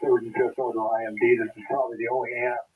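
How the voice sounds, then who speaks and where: Male ham operator, casual contact, narrow radio band, very loud noise. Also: mid-range voice, level tone, quick pace. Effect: two-way radio. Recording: narrow-band shortwave radio, heavy static.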